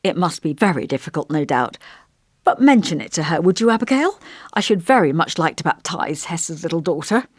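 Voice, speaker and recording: Woman voicing a fussy, genteel old vicar, swooping pitch. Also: lively intonation, fairly quick, no echo, clean studio sound. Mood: earnest, reproving. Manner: pompous, old-fashioned, genteel, musing. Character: elderly male village vicar, kindly, fussy, long-winded. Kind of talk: audiobook character dialogue.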